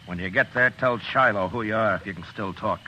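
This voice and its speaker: An actor playing a tough American frontier marshal, terse and stern, in a hard-boiled old radio western. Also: male voice, fairly low pitch, brisk, sharp tone, slight background noise. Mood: stern, threatening. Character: tough, grim frontier marshal narrating his own story. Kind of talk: 1950s radio western acting and first-person narration. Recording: muffled vintage radio broadcast.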